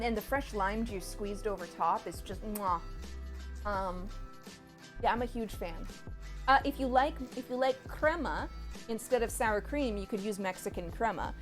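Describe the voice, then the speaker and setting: Chatty, bubbly woman cooking on stream. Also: medium pitch. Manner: chatty, playful, bubbly and rambling. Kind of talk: casual chat while cooking. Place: home kitchen.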